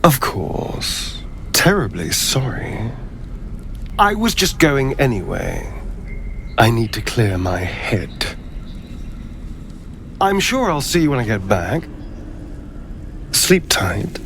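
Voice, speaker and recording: Sly rogue with swooping pitch. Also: male voice, huge pitch swings, slow pace, long pauses, a bit bright, a little hiss or hum, exaggerated voice. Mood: arch, dismissive. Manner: coy, arch, drawling. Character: flirtatious, theatrical, sly male rogue. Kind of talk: fantasy game dialogue.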